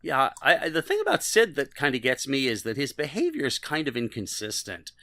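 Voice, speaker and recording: A man co-hosting a podcast, chatting casually and critiquing in a halting, conversational way. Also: mid-range voice, wildly swooping pitch, bright, forceful tone, no room sound. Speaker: podcast co-host. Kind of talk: casual chat, TV episode discussion.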